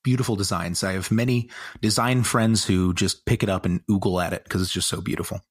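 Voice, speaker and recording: A man's voice: low voice, steady tone, fairly quick, slightly rough, dry recording, clean studio sound. A man co-hosting a casual podcast, explaining things in an eager, descriptive way. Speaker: podcast co-host.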